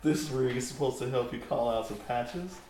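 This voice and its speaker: A man's voice: mid-range voice, normal speed, darkish tone. A man running a tabletop game as game master, switching between narration and casual banter. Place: indoor room, home game session. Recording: echoey room, background noise, home-made. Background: noise, air conditioner hum.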